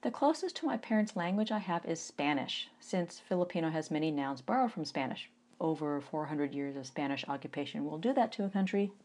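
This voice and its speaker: A woman in her forties from the United States, an author giving an informal reading of her own memoir in a conversational, wry way with animated emphasis. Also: low voice, brisk. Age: 40s.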